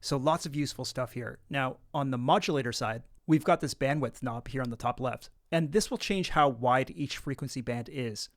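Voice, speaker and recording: A man narrating an instructional software tutorial, explaining things casually and step by step. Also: medium pitch, moderate pace, hardly any pauses, dry recording, studio quality.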